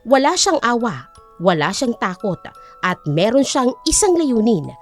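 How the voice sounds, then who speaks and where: A woman's voice: a little high, a little mellow. A woman narrating an audiobook with a dramatic, scripted storytelling delivery.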